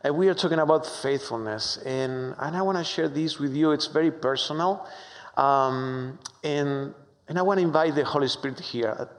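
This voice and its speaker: A man from Argentina with a strong Argentine Spanish accent, preaching a sermon with warm, humorous, halting storytelling full of fillers. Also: fairly high pitch. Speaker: pastor. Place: church sanctuary. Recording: long hall reverb.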